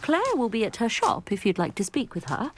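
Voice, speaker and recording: An actress playing a chatty, worried, widowed older village woman in a fantasy video game, with a British accent and expressive, folksy phrasing. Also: fairly high pitch, brisk, slight background noise. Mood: warm, grateful. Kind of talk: video game character dialogue.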